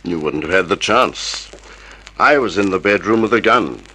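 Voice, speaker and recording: An actor playing a dry, sardonic police inspector in an old-time radio drama, curt and authoritative. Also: male voice, deep voice, expressive, moderate pace, sharp tone, slightly rough, heavily acted, poor audio.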